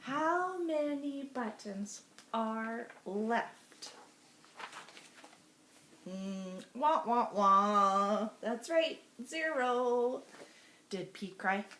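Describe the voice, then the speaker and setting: A woman teacher reading a storybook aloud to young children in an expressive, sing-song way, asking them questions as she goes. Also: fairly high pitch, very slow. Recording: roomy echo with faint background noise.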